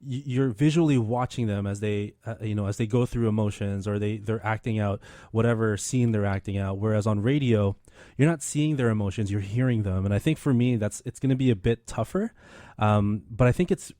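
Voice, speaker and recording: A man's voice: lowish voice, a little fast, few pauses, mellow, no room sound, studio quality. A man hosting a casual radio interview in an enthusiastic, encouraging way. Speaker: radio show host.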